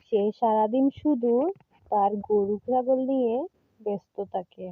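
A woman's voice: a little high, steady tone, a little slow, phone-quality sound, a little hiss or hum. A woman narrating a scripted storybook tale for a cartoon.

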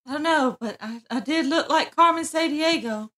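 A woman's voice: high-pitched, normal speed, pushed and bright. A woman ad-libbing mock-dramatically in an improvised amateur comedy fan dub. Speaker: amateur voice dubber.

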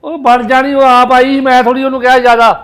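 A man's voice: high-pitched, animated, normal speed, reverberant. A man playing a hot-tempered, stubborn grown son in a family drama, complaining and accusing. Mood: defiant.

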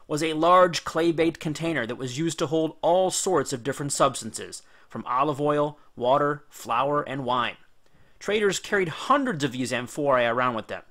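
A man's voice: medium pitch, a bit bright. A man reading scripted educational narration like a teacher, with an enthusiastic, informal and emphatic storytelling delivery.

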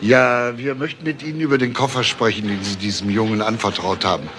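Calm, insistent detective male actor, lowish voice, matter-of-fact, in a noisy retro crime play. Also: a little fast, natural voice. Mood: firm. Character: calm, probing police detective. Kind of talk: German radio crime drama acting.

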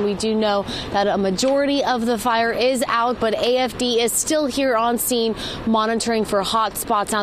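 A woman in her thirties reporting live breaking news in a measured broadcast delivery, speaking into a handheld microphone outdoors. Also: medium pitch. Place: outdoors on a city street at night. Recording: outdoor ambient street noise.

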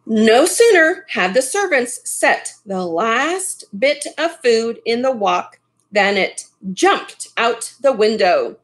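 A middle-aged woman reads a picture book to children. Her voice is fairly high and very animated in pitch, taken at a slow pace with asides to the listeners. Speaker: storybook reader. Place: small furnished room at home.

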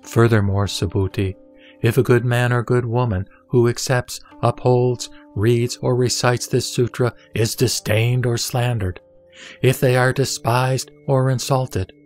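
A man playing the Buddha as a serene, solemn teacher, reciting scripture in measured, deliberate phrases for an audio drama. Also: fairly low pitch, a little mellow, natural voice. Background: music.

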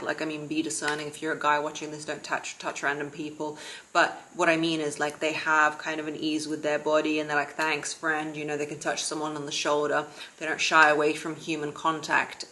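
A woman in her thirties gives relaxed advice from a sofa at home, her voice low and settled. She holds a calm, steady, near-monotone delivery, talking to a camera set a little way off. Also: a little hiss or hum, rough recording. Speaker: vlogger and confidence coach. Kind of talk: casual advice vlog to camera. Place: living room, seated on a sofa.

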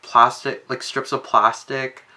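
A young man casually reviewing a collector doll in his bedroom, chatty and full of fillers, with sudden excited jumps in pitch. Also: slow pace. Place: bedroom, indoors. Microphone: webcam framing at desk.

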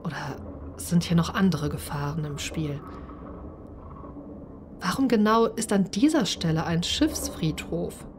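A woman narrating a first-person story in an expressive, dramatised way. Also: on the low side, measured pace, long pauses, noisy background. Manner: expressive, acts out the character's speech. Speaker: narrator and player of a solo role-playing game.

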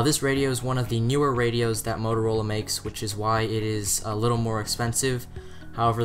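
A man with an American accent presenting a scripted product review as a shop's presenter. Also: medium pitch. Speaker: presenter from a two-way radio shop. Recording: music behind the voice. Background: guitar music.

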